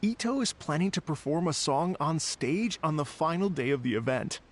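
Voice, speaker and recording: Animated, highish-voiced polite festival organizer. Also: male voice, moderate pace. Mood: grateful, earnest. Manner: courteous, slightly hesitant. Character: earnest polite gentleman music festival organizer. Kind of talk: video game voice acting.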